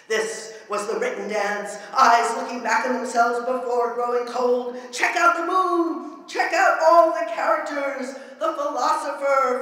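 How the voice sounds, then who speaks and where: A woman recites poetry in a big reverberant hall, her voice set back from the microphone. She is theatrical and declamatory, drawing phrases out slowly and chanting them like an incantation. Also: fairly high pitch, fairly bright tone. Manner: emphatic, declamatory delivery. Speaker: performance poet. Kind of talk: dramatic spoken-word poetry recital. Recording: echoey hall, voice far from mic.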